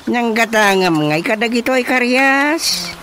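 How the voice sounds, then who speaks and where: A man acting an inquisitive village chairman in a radio drama comedy, with an Ilocano accent and probing, drawn-out questions. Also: very high voice, lively intonation, sharp tone, slight background noise, heavily acted. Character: village chairman, man inspecting carpentry tools with growing suspicion.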